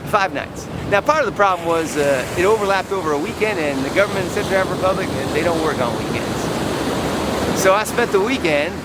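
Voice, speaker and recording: An American man telling a story casually as a travel vlogger, animated and emphatic, repeating words for effect. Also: high voice, fast talker. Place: outdoors on a windy beach. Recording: loud wind and surf noise.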